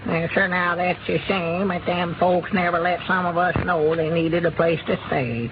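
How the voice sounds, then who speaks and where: An actor playing a good-hearted, agreeable old farmer who repeats himself in a folksy rural Arkansas accent, in a 1930s radio drama. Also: male voice, high-pitched, fairly even intonation, moderate pace. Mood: earnest. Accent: rural Southern US, Arkansas. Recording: noisy, dull 1930s radio recording.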